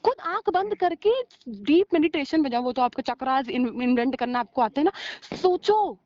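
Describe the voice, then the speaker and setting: A woman's voice: high voice, animated, moderate pace, darkish tone, dry recording. A woman arguing heatedly with a partner on a recorded phone call, scolding and sarcastic, slipping English words into her talk. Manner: mixes in English words and phrases.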